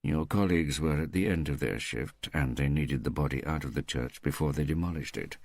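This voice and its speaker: A man voicing a sardonic woman pathologist, low-pitched. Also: fairly quick, gravelly, a little mellow, no room sound. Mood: matter-of-fact. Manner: mischievous, wry. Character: sardonic, teasing woman pathologist in Soviet Russia.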